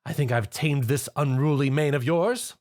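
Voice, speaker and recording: A man's voice: on the high side, normal speed, darkish tone, pitched above the performer's own voice, close to an everyday voice. Man doing a posh fussy fashion mare. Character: prim refined fashion-designer mare, dramatic.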